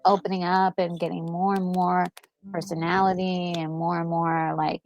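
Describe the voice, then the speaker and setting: A woman gaming co-host talking casually and enthusiastically, thinking aloud in a halting way as she reacts to a game. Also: fairly low pitch, flat delivery, darkish tone. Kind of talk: casual reaction chat about a video game.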